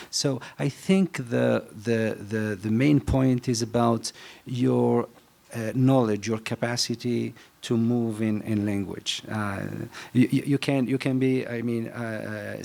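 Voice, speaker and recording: A man from Algeria, speaking haltingly as a festival panelist. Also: on the low side, vocal fry, a little mellow. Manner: halting, many restarts and fillers. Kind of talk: panel discussion.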